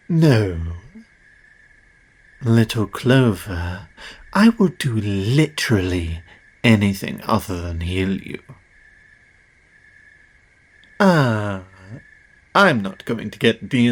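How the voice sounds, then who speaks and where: A man acting as a smug, powerful demon in an audio drama. He talks down to the listener in a theatrical way, taking his time over each phrase. His mid-pitched voice rises and dips with lively, arrogant flair. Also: exaggerated voice. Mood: exasperated, bargaining. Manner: cocky, condescending, theatrical. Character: cocky, powerful male demon, condescending deal-maker. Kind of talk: scripted audio roleplay spoken directly to the listener.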